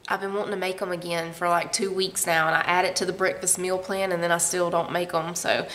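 A woman's voice: on the low side, flat delivery, quick pace, fairly bright tone, a little hiss or hum. A woman, chatting casually to camera in her living room, rambling and laughing as she goes. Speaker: family vlogger. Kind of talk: casual vlog chat.

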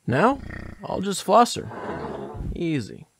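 Casting: male actor as wry game ranger, gravelly, animated pitch, plainspoken, audio drama over music. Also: takes it slowly, a little hiss or hum, full character voice. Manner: plainspoken, dry humour. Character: matter-of-fact, wry male park ranger.

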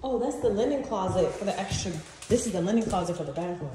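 A woman's voice: medium pitch, animated, fast talker, mellow. Woman, informal walking vlog chat, echoey bare house, noisy phone audio. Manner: informal, talking to viewers and companion. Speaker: vlogger giving a house tour. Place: empty house rooms, indoors. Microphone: handheld phone.

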